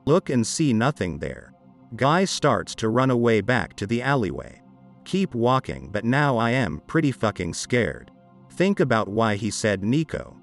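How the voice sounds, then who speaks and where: A man reading a scripted horror story as narrator, over dark background music. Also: on the low side. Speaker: narrator of creepy internet stories. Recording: dark ambient music behind.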